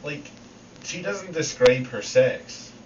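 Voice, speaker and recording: A man's voice: mid-range voice, slow. A Scottish man in casual comic banter, commenting in an incredulous, mocking way. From Scotland. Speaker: co-host commenting on the reading. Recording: distant, dull, noisy room recording.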